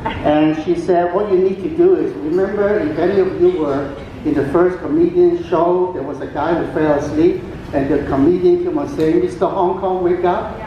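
An older man whose first language is Cantonese, telling jokes at a cruise ship talent show into a handheld microphone, with pauses and fillers. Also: steady tone, lo-fi sound, a little hiss or hum. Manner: chatty joke-telling with frequent pauses and fillers. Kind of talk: stand-up comedy. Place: large atrium on a cruise ship. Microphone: handheld microphone through a PA, filmed from afar. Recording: booming PA echo from a distant camera.

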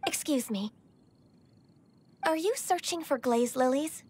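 A woman voicing a gentle, polite, wistful young half-human immortal in a video game, with a formal, soft, hesitant manner. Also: sits high, animated, takes it slowly. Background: soft game music.

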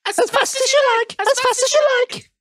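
A woman voicing two female railway coaches in a storybook, chanting in a shrill sing-song. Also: brisk, fairly bright tone.

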